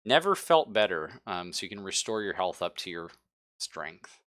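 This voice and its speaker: A man's voice: sits low, very animated, moderate pace, fairly bright tone, dry recording, studio quality. A man casually narrating a tabletop sci-fi horror game as game master, informal and jokey.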